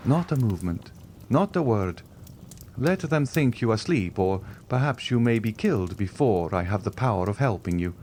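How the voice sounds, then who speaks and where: A man voicing a grave, protective middle-aged nobleman who gives solemn, measured warnings. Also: on the low side, animated, dark tone, a little hiss or hum, lightly acted. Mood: urgent.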